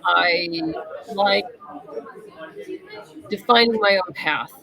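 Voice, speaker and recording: A woman talks as an interview guest in a casual, conversational way. Her mid-pitched voice has a noticeable creak, and she explains things candidly. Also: drawn-out words, crowd noise. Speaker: interview guest, comic writer and novelist.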